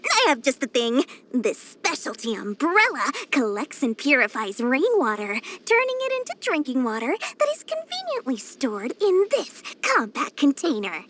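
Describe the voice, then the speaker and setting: A woman's voice: high voice, huge pitch swings, normal speed, a bit bright, full character voice. A woman voicing a small, cheerful, greedy young female merchant for a video game, with theatrical, sing-song sales patter. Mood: eager, salesy. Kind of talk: animated video game voice acting.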